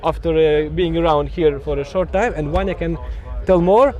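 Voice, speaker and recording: Male YouTube host, high and dark-toned, hesitant interviewer outdoors. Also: normal speed, lo-fi sound. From Ukraine. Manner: hesitant, many fillers and restarts. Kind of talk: casual on-site interview. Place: outdoor event stand.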